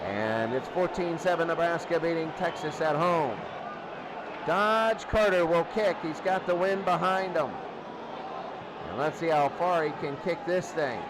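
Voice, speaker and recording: A man doing live play-by-play football commentary, excitable and rising to shouts on big plays. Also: fairly high pitch. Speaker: play-by-play announcer. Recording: loud crowd and music behind. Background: crowd noise and music.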